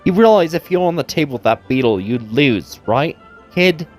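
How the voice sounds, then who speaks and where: A woman's voice: deep voice, animated, moderate pace, a little mellow. A woman giving casual commentary as she plays a video game, with a sardonic, teasing, mock-grumpy manner. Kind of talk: casual play-along commentary. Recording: game music behind the voice.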